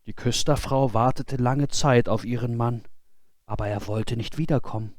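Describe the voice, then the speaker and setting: A man narrating a fairy tale from a script in an expressive, deliberate storybook style. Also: medium pitch, dry recording, clean studio sound.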